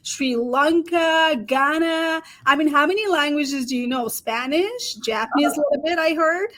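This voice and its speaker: A woman's voice: sits high, normal speed, bright, forceful tone. A woman hosting a conversational interview show, introducing and questioning her guest. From South Asia.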